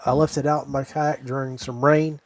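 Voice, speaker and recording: A man hosting a podcast in a casual, folksy, halting way. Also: mid-range voice, level tone, crowd noise. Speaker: podcast host.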